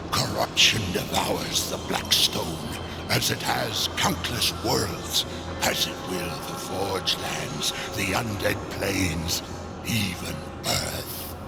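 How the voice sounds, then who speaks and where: A man playing a sly demon merchant in a game cutscene, theatrical and insinuating, with a gravelly voice. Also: extremely slow, full character voice. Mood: foreboding. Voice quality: deep, gravelly. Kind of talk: video game cutscene acting. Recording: loud music behind voice.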